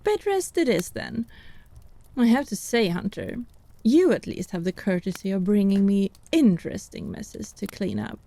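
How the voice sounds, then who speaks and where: A woman's voice: medium pitch, very animated, moderate pace, gravelly, warm tone. A woman playing a wry, irreverent witch and healer in a fantasy audio drama, wordy and sardonic. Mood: dry, playful. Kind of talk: fantasy audio roleplay acting.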